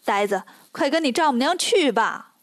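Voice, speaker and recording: A woman voicing a cheeky, sardonic male monkey disciple in a storybook tale, brisk, teasing and dismissive. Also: sits high, lively intonation, dry recording, clean studio sound.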